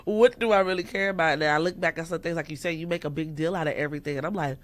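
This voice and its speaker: A woman's voice: sits low, racing. A woman of thirty, a musician guest in a casual podcast interview, talking freely, laughing often and acting out what people said to her. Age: 30s. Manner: laughs often, animated, quotes herself in raised voice.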